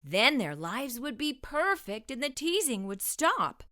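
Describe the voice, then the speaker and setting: A woman's voice: sits high, very animated, measured pace, pushed and bright. A woman hosting a children's read-aloud, narrating a storybook expressively with careful delivery.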